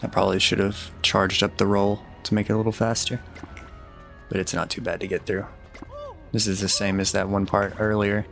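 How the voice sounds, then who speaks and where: Young guy with a low gravelly voice, flat delivery, chill walkthrough tips, faint music behind. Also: moderate pace. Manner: easygoing, instructive, slightly self-deprecating. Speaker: gameplay guide commentator. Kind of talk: relaxed walkthrough commentary.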